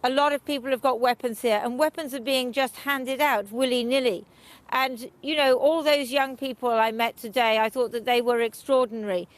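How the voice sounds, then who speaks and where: A woman's voice: high voice, steady tone, normal speed, no room sound. Earnest woman reporting news. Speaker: foreign correspondent.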